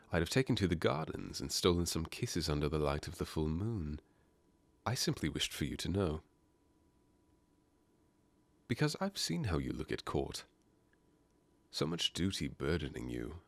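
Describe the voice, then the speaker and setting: Very deep, charming masked nobleman played by a man. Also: very animated, moderate pace, creaky, long pauses, no room sound, heavily acted. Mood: gentle, wistful. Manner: suave, playful, courtly phrasing with soft hesitations. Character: charming teasing masked nobleman courting a princess. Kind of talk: ASMR romantic roleplay acting.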